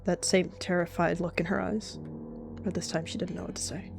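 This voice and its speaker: Woman, roleplay narrator, low voice, quiet, steady delivery. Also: fairly quick, gravelly, faint music behind. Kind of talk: dramatic story narration.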